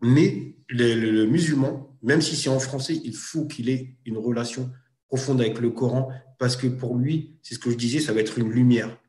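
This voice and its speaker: A man in a casual conversation, speaking thoughtfully and hesitantly, who pauses often and goes back over his points. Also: mid-range voice, darkish tone, roomy sound. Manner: thoughtful, hesitant, circles back and repeats himself. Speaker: guest, Arabic teaching project founder. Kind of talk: casual chat.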